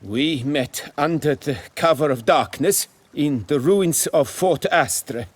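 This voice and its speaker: A man's voice: on the high side, slow pace, no echo. An actor playing a proud but servile nobleman winemaker in a video game, speaking formally and with deference. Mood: contrite. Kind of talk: dramatic video game acting.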